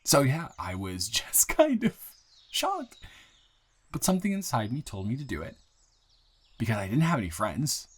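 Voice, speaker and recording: A young man acting an earnest, smitten guy new in town in an improvised audio roleplay, speaking intimately and haltingly with repeated words. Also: mid-range voice, huge pitch swings, husky. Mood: earnest, tender. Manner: halting, rambling, with repeated words and nervous chuckles.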